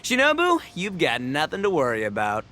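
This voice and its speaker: An actor playing a boisterous, cocky oni gang boss in an anime game, brash and excitable. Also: male voice, a little high, moderate pace, a little hiss or hum, full character voice. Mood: cocky, reassuring. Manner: brash, excitable, swaggering, big laughs.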